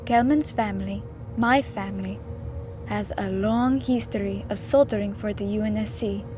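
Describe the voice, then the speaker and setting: A woman's voice: mid-range voice, normal speed, over music, natural voice. A woman playing an anxious, protective middle-aged mother with earnest, emotional delivery in an audio drama. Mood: gentle, sad.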